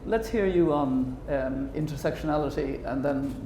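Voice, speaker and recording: A man's voice: a little high, normal speed, reverberant, noisy background. A man moderating a panel discussion, hesitant and full of ums, with friendly jokes. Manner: hesitant, many ums and uhs, friendly joking.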